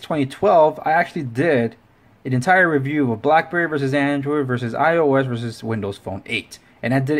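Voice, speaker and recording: Man, mid-pitched voice, casual video reviewer, informal, rambling, self-correcting. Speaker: tech review show host.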